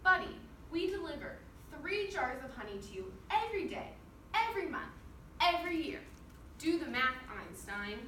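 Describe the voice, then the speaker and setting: Woman voicing a loud, bossy little bee, high-pitched. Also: big pitch swings, normal speed, exaggerated voice. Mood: sarcastic indignation. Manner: demanding, sarcastic. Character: very small loud bee, bossy indignant striking worker. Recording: roomy, distant microphone, clear noise.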